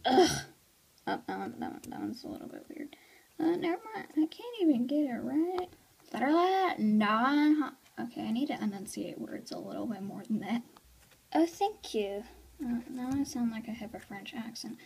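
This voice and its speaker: A woman's voice: a little high, lively intonation, moderate pace, gravelly, a little mellow, breathy edge. A girl chatting through blooper outtakes of her toy skit, rambling and self-mocking.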